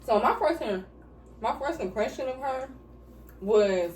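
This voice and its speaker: A woman's voice: a little high, animated, unhurried, darkish tone. A woman chatting casually during a mukbang, blunt and swearing freely while she eats. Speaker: vlogger answering couple questions. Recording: echoey room, mic at a distance, faint hiss.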